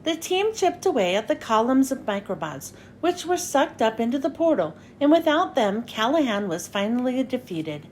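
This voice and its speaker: A woman reading a children's story aloud in an expressive, dramatic storytelling style. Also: a little high, normal speed, few pauses, slight background noise. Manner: expressive, dramatic with character lines. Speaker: children's book reader. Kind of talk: animated read-aloud storytelling for kids.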